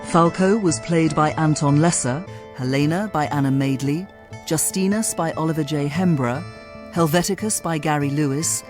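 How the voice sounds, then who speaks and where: Mid-pitched radio announcer over music. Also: moderate pace. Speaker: continuity announcer reading cast credits. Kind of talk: scripted broadcast announcement.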